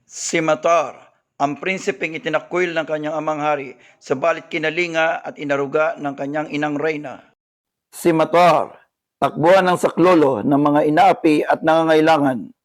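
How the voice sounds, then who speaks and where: A Filipino man playing a radio drama announcer who heralds a hero in a grand, drawn-out manner. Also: fairly high pitch, fairly even intonation, lightly acted. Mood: heroic, solemn. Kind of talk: 1970s radio drama introduction.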